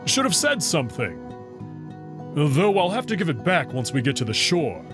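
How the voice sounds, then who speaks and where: A man's voice: a little high, huge pitch swings, normal speed, over music, voice pushed up. A man voicing an eager, easygoing, slightly impatient male wolf in a visual novel, informal and breezy.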